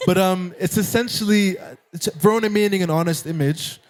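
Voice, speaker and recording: A young man with an American accent, a guest musician chatting in a relaxed interview and laughing often. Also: high voice, brisk, a crowd in the background, rough recording. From Nairobi, Kenya. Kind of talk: casual interview chat.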